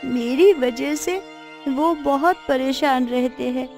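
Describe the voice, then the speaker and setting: A woman voicing a sorrowful, self-blaming village woman in a cartoon moral tale, with slow, dramatic delivery. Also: high-pitched, darkish tone, faint music behind. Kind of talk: Hindi cartoon story voice acting.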